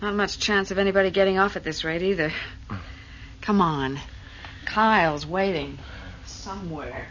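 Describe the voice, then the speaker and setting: A woman's voice: fairly low pitch, normal speed, a bit bright, lightly acted. An actress playing an inquisitive, wry female space traveler in a sci-fi radio drama. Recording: muffled, hissy old radio recording.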